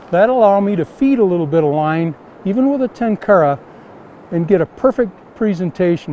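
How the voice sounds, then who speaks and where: A man's voice: high-pitched, darkish tone, a little hiss or hum. An older man teaching fly casting outdoors while standing in a river, giving plain, repetitive step-by-step instructions.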